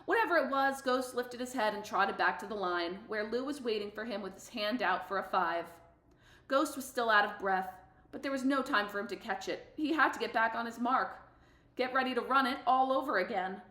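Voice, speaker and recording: A sassy middle-school girl runner, voiced by a woman, tells her own story in a storybook read-aloud. She is fiercely competitive and emphatic, with slangy phrasing. The voice sits fairly high and rings in an echoey room. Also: fairly quick, fairly bright tone, lightly acted. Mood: sassy, confiding. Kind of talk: expressive audiobook-style read-aloud.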